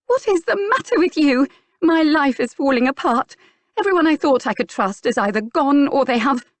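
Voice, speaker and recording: A woman's voice: mid-range voice, big pitch swings, normal speed, dry recording, voice pushed up, natural voice. A woman voicing a prim, straight-laced young lady who grows indignant and furious. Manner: indignant, rising to anger.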